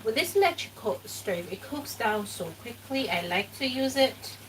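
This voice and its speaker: A woman's voice: on the high side, lively intonation, normal speed. A woman from Ghana who thinks aloud in casual chat while she cooks in a home kitchen, recorded by a camera some way off. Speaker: cooking vlogger. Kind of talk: casual cooking commentary. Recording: distant mic with kitchen noise.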